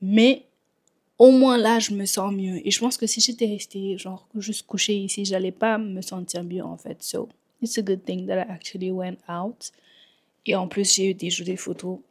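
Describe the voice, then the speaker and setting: A woman's voice: mid-range voice, fairly even intonation, moderate pace, a little mellow. A woman from Senegal chatting in a home vlog, a little congested from a cold, dropping English phrases into her talk. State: recovering from a cold. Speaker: vlogger. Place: at home in Montreal.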